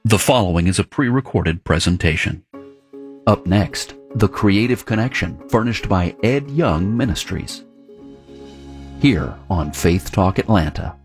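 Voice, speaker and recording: A man's voice: deep voice, animated, a little slow. A man reading a scripted radio station announcement. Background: music bed.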